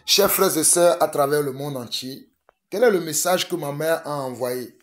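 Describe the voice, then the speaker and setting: A man's voice: on the high side, normal speed. A man from Togo, preaching a fervent sermon that mixes prayer with urgent exhortation and repetition. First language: a Togolese language.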